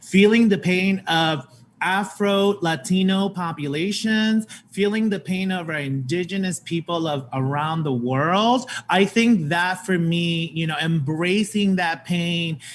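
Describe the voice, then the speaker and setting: A man in his thirties talking earnestly and with animated emphasis on a panel, speaking from home over a video call. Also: high-pitched, measured pace, a little hiss or hum. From Puerto Rican and Colombian heritage. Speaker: show host on panel. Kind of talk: casual panel chat. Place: home room.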